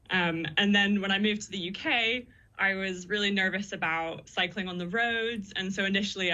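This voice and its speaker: Bright-voiced young woman, radio guest. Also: fairly low pitch, muffled recording. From born in the US, raised in the Netherlands. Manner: chatty and upbeat, laughs often. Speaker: guest, PhD student. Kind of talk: radio interview.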